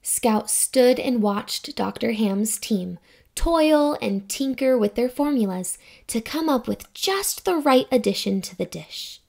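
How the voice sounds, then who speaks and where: A young woman reading a children's story aloud in a theatrical, expressive storytelling manner. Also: fairly high pitch, takes it slowly, creaky. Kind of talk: expressive scripted read-aloud narration for children.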